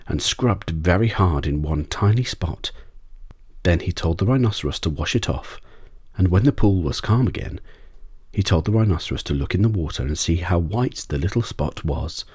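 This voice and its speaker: Very deep-voiced man reading a bedtime story. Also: expressive, moderate pace, darkish tone, husky, no echo, clean studio sound. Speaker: audiobook narrator.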